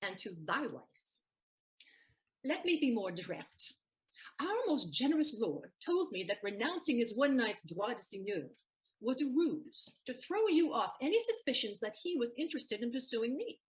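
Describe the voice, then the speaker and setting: Woman voicing a clever teasing young maid, high bright pitch, very lively intonation. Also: rough recording. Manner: teasing, sharp-witted, mocking. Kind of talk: stage audio play acting.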